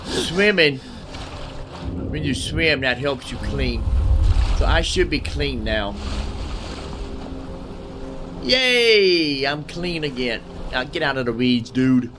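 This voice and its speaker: A man's voice: mid-range voice, lively intonation, slow, long pauses, background noise. A man giving casual let's-play commentary, thinking aloud and repeating himself as he goes. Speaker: gamer, host of a roleplay series.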